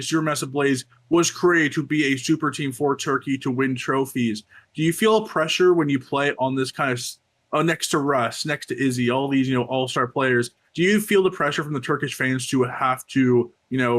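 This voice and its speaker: A man's voice: mid-range voice, a bit bright. A man speaking casually as co-host and reporter on an esports talk show.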